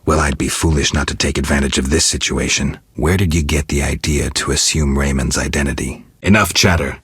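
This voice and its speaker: Gruff monster hunter dude for a game, super deep n raspy, deadpan, sardonic, few words. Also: male voice, fairly quick, no room sound, exaggerated voice. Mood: stern, dry. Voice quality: gravelly, husky rasp. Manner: terse, dry, deadpan. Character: stoic, sardonic monster-hunting mercenary warrior, gruff adult man. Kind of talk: video game voice acting.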